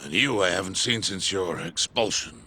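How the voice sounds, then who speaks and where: An actor playing a calm, paternal older crime boss in a video game, speaking with measured authority. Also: male voice, sits low, slight background noise, exaggerated voice. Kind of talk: video game cutscene acting.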